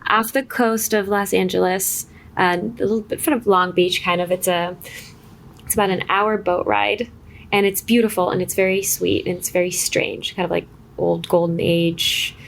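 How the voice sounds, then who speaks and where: Chatty, halting woman from Israel. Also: lowish voice, fairly even intonation, gravelly, a little hiss or hum. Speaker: guest, screenwriter. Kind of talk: casual conversational storytelling.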